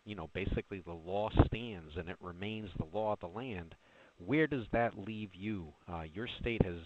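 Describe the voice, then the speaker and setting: A man working as a journalist, putting a press question over the telephone. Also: deep voice. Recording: noisy, muffled telephone line with clicks.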